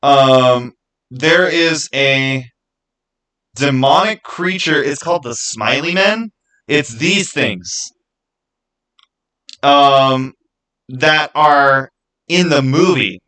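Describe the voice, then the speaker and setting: A man with a mid-pitched voice hosts a livestream. He talks in a casual, conversational way, wandering through his thoughts as he responds to people in the chat. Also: extremely slow, long pauses, bright, forceful tone. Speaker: livestream host. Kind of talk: casual livestream chat, answering viewers' comments.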